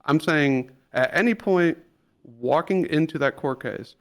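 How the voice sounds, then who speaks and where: A man improvising as an attorney in a roleplay trial, pressing a witness with halting, repetitive questions. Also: on the high side. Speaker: attorney cross-examining a witness.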